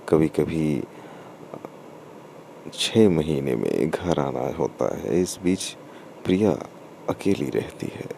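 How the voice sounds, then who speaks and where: A man narrating a scripted story as a storyteller, in halting short phrases. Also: rumbling low, vocal fry, mellow, slight background noise.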